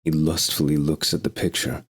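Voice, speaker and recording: A man with a husky voice reading scripted narration for an audio drama. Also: extremely low pitch, little pitch movement, moderate pace, slight background noise.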